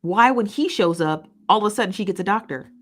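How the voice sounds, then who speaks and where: A woman's voice: medium pitch, expressive. Woman commentary host, emphatic and repetitive, confident storyteller. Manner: emphatic, repetitive, argumentative, with quick laughs. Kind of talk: opinionated news commentary, reading viewer comments.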